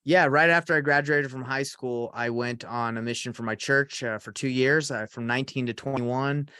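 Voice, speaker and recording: A man's voice: mid-range voice, steady tone, normal speed, bright, forceful tone, clean tone, dry recording, clean studio sound. A young man in his twenties, a real estate coach being interviewed, talking earnestly with many restarts. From Atlanta, Georgia. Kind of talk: casual podcast interview.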